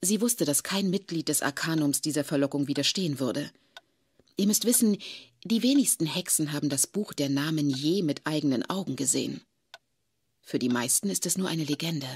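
An actress playing a grave, knowing woman who explains witch lore in a measured, ominous way in an audio drama. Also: sits low, darkish tone, slightly rough, no room sound, studio quality. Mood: grave explaining. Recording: noisy cassette radio play recording.

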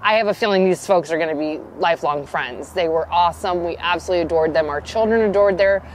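A woman's voice: on the low side, fast, slight background noise, low-quality recording. A woman in her thirties co-hosting a family travel vlog, speaking with upbeat enthusiasm while seated outdoors beside a travel trailer. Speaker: vlog co-host. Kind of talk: casual travel chat. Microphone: camera at a distance.

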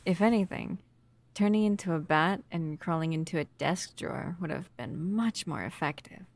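A woman playing a protective, upset human lover in an ASMR roleplay, stern yet often softly whispery. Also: lowish voice, moderate pace. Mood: worried, tender. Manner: soft, breathy near-whispers mixed with stern scolding.

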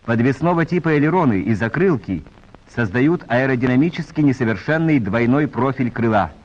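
A man reading scripted narration in a formal, measured announcer style, as in a 1940s training film. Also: medium pitch, expressive, darkish tone. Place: voice-over recorded for a film. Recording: muffled vintage 1940s film soundtrack.

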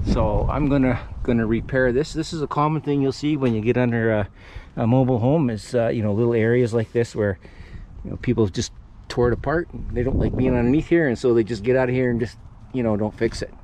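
A man hosting a casual hands-on repair tutorial, plain-spoken and folksy, with a halting delivery. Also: mid-range voice, background noise. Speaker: host of DIY renovation channel. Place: outdoors under a raised mobile home.

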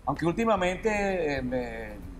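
A man's voice: on the high side, slow, slight background noise. A man, a guest musician, chatting casually and joking on a talk show. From Venezuela. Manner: relaxed, rambling, joking. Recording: music faintly behind.

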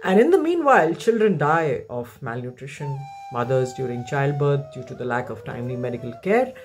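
A woman reading a prepared message earnestly, with deliberate emphasis. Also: extremely low pitch, very animated, a little mellow. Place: indoor room at home. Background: music.

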